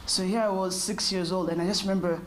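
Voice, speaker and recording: Woman, 30s, calm thoughtful interview answers, slight background hiss. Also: fairly low pitch, poor audio. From Kenya. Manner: thoughtful, measured, with short pauses. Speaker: interview guest.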